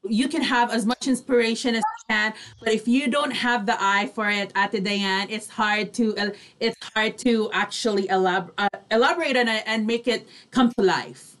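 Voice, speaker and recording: A woman's voice: a little high, normal speed, a bit bright. A woman co-hosting a casual chat show, agreeing readily and speaking expressively. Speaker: online radio show co-host.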